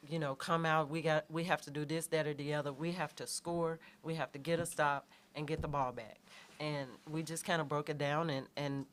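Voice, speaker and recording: A middle-aged woman, a head coach answering questions in a halting way, with many fillers and restarts. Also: low-pitched, a little hiss or hum. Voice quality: soft voice. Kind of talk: postgame press conference answers.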